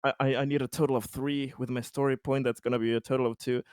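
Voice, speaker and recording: A man chatting casually as a player in an online tabletop roleplaying game, excitable and prone to swearing. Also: medium pitch, fast, smooth, no room sound, studio quality.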